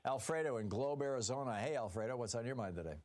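A man's voice: medium pitch, expressive, fast, a little mellow. Man, American accent, talk radio host, mid-range voice, studio delivery. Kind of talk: live call-in talk and host-read ad.

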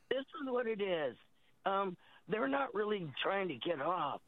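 An American woman calling a radio show over a tinny phone line, husky from a cold, chatting in a halting, rambling way. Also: medium pitch, sing-song, no room sound. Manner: halting, searching for words. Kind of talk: casual, rambling phone-in chat. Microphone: telephone.

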